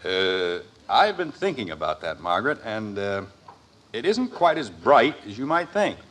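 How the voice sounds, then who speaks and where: A man's voice: lowish voice, big pitch swings, unhurried, fairly bright tone, exaggerated voice. An actor playing an exasperated middle-aged suburban father in a 1950s American radio sitcom, sputtering, hesitating and dryly sarcastic. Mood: sheepish, reluctant. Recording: vintage 1950s radio recording.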